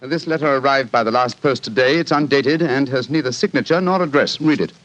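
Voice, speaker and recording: An actor playing a self-assured English master detective, clipped and didactic, in 1940s radio style. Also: male voice, medium pitch, a little fast, a bit bright, natural voice, low-quality recording. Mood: amused, assured.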